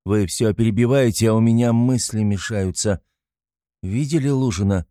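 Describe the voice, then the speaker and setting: A man's voice: lowish voice, normal speed, mellow, dry recording, studio quality, pitched above the performer's own voice, lightly acted. Man acting feverish, irritable young man. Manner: strained, curt, imperious.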